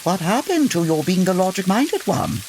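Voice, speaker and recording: A man playing a logical, formal gentleman detective with theatrical, proper diction in a comedic audio drama. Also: sits high, sing-song, moderate pace, slight background noise. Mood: exasperated. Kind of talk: comedic old-time radio drama acting.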